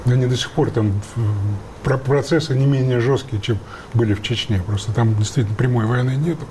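Male panelist, challenging and quick to interject, warm low tone, faint hum. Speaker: studio guest. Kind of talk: panel debate.

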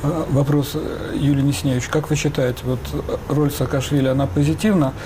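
A man hosting and moderating a studio discussion, polite and measured as he puts questions to the guests. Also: fairly high pitch, fairly even intonation, noisy background. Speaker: host.

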